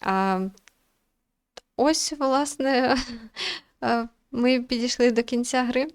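A woman's voice: high voice, slow, clean tone, no echo. Casual young woman, very dry recording. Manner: thinks aloud, hesitant, informal. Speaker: gaming video host. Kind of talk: casual let's-play commentary.

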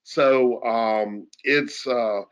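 A man's voice: mid-range voice, fairly even intonation, very slow, clean tone. A man giving an informal technical explanation as an expert, in a conversational way with rhetorical questions. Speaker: audio engineer guest expert.

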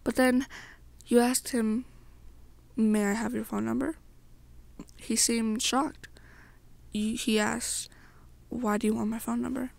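A young woman telling an ASMR roleplay story in the second person, her breathy voice sometimes dropping toward a whisper. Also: on the high side, flat delivery, slow pace, pauses often, dry recording.

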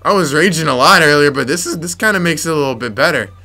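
Young male let's-player, raspy from yelling, bright voice, faint game music underneath. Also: fairly high pitch, lively intonation, fast. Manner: frustrated, muttering to himself, sudden yelps. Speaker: gameplay commentator. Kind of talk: let's play commentary.

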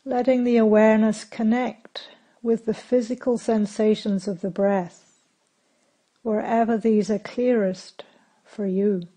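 A woman leads a guided meditation in a dark, relaxed voice. She speaks very slowly and calmly, giving gentle instructions and leaving long pauses between short phrases. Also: a little high, low-quality recording. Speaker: meditation teacher.

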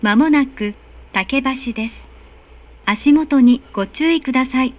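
A woman's voice: sits high, measured pace, highs cut off. A woman reading formal, polite recorded train announcements with careful enunciation. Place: recorded announcement played inside subway car.

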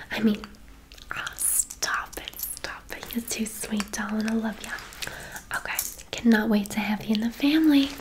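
A woman plays an affectionate, gossipy female hairdresser in an ASMR roleplay. Her voice is breathy and whispered, sitting a little high. She chatters effusively, lavishing endearments on her client. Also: lightly acted, rough recording. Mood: gushing, chatty.

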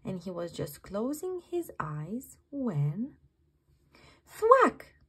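Animated, unhurried woman reading a storybook. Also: mid-range voice, breathy edge. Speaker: children's book reader. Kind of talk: expressive bedtime story read-aloud.

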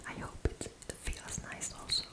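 A woman in her twenties speaking in a breathy, gentle whisper, soothing the listener in an ASMR roleplay and softly repeating words. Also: normal speed. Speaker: ASMR creator in a roommate roleplay. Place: small indoor room.

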